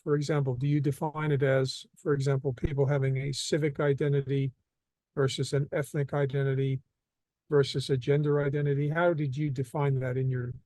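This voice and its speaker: A man hosting an interview, reading out questions in a measured, formal way. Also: medium pitch, flat delivery, dark tone, no echo.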